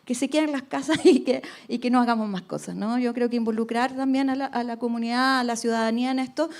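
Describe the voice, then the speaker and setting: A woman's voice: a little high, fast, darkish tone. A woman researcher speaking on a radio panel, thoughtful, often adding tag questions and restarting phrases.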